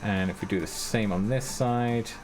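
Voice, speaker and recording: Low-voiced man muttering while gaming. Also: moderate pace. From Britain. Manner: muttering step-by-step to himself, casual and self-mocking. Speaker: streamer. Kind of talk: live-stream gameplay commentary. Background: his own background music.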